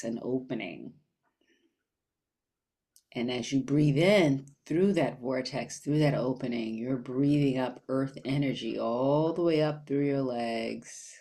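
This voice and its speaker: A woman with a low-pitched voice leads a guided meditation. She speaks very slowly and gently, leaving long, unhurried pauses between short phrases.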